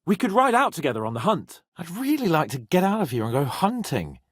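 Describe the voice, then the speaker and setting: A man's voice: a little high, sing-song, fairly quick, slightly rough, no room sound, studio quality. A man playing a haughty, hot-tempered young medieval nobleman in a video game, with a pompous, emphatic delivery. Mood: smug, lordly. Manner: pompous, lordly, emphatic, swearing.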